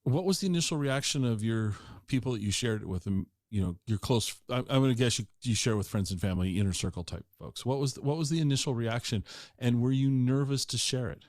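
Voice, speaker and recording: A man hosting an interview podcast, asking halting questions and restarting them often. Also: medium pitch, darkish tone, no echo, studio quality. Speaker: podcast host. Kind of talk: casual interview.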